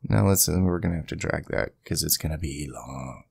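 A man giving a casual screen-capture software tutorial, thinking aloud informally as he goes. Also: a bass voice, lively intonation, normal speed, vocal fry, breathy edge, no room sound, studio quality. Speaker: tutorial host.

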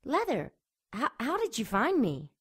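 A woman's voice: high voice, huge pitch swings, a little slow, a bit bright, husky, full character voice. A woman playing a seductive, predatory winged succubus in an audio drama, with a sultry, taunting manner. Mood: defiant, scornful.

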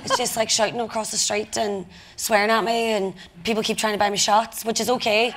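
Young northern irish woman, highish voice, laughs through her stories, faint clicky noise. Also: fairly quick, poor audio. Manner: laughing, animated storytelling. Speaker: actor panelist. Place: conference stage, table mic.

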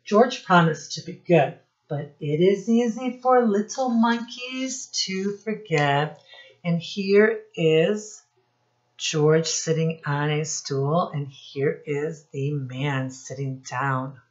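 Female storytime teacher, middle-aged, slightly low pitch, instructive read-aloud for young children, muffled home webcam recording. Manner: instructive, addresses children directly, pauses to point things out. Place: small room at home, indoors.

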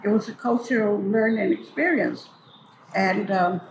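A woman's voice: mid-range voice, a little slow. An older woman telling memories casually and unhurriedly, repeating phrases for emphasis. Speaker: storyteller and community elder recalling memories. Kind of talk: casual oral reminiscence. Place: large echoey hall at a cultural building. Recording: echoey hall with clear background noise.

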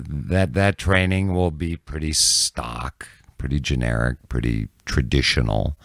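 A man in his sixties answering interview questions casually and reflectively, in a halting, candid way with frequent restarts. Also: extremely low pitch, gravelly, dry recording, clean studio sound. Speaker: news anchor and reporter, podcast guest. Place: small dim indoor podcast room. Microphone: close studio microphone on a boom.

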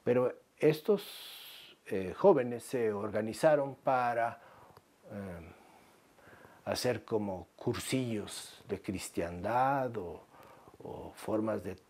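A man in his seventies with a Guatemalan accent, recalling his life in a measured interview with halting pauses. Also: lowish voice, a little mellow. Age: 70s. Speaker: researcher telling his life story. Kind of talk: reflective interview narration. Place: small book-lined room indoors.

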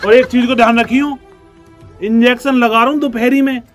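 A man with a rather high, raised voice, loud and scolding as a gym owner in a comic sketch. His lines are rough and joking. Music plays clearly under him. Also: fairly even intonation, a little fast. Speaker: gym owner selling injections. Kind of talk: comic sketch in village dialect.

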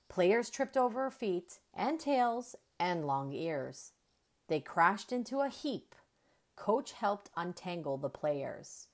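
A woman narrates a children's picture book aloud. Her voice is on the low side and swings widely in pitch. She takes her time, shaping each sentence expressively. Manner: deliberate, animated storytelling with emphasized dialogue. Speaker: children's storybook reader.

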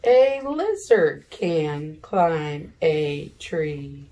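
A middle-aged woman reads aloud to young children as their teacher. Her voice is low and gravelly, and she goes very slowly and deliberately, sounding out words and posing simple questions. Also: very animated, warm tone. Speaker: elementary school teacher. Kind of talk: read-aloud reading lesson for young children. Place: living room at home, seated in an armchair.

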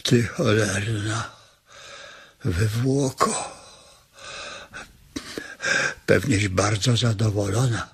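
Bitter old farmhand, gravelly, super slow, grieving, radio play. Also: male voice, fairly low pitch, a bit bright, full character voice. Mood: bitter contempt. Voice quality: husky, gravelly. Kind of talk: radio drama acting.

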